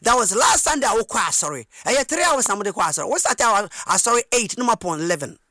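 A very high-pitched man with a Ghanaian accent delivers an impassioned sermon with a bright, forceful edge. His pitch moves in lively swings as he repeats phrases, throws out rhetorical questions and breaks into shouts or chant. He is a native Twi speaker and preaches with heavy emphasis. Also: fairly quick, no room sound, clean studio sound.

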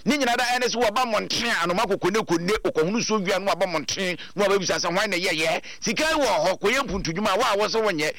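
A man with a Ghanaian accent presenting radio news commentary in a lively, emphatic way. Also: sits high, fast talker, hardly any pauses, dry recording.

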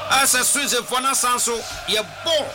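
A man with a Ghanaian accent doing live radio football commentary, shouting excitedly and mixing Twi and English. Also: extremely high pitch, normal speed. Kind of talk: live radio play-by-play with read-in ads. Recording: noisy broadcast with crowd behind.

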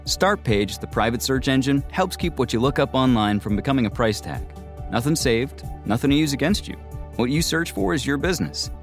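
A man's voice: mid-range voice, lively intonation, fairly quick. A man reading a scripted commercial in short, punchy phrases. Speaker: ad narrator. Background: faint music.

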